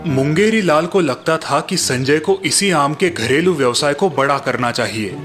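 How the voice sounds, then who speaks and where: A man's voice: on the high side, normal speed, a bit bright, soft background music. A man reading scripted narration for a moral story, as its narrator.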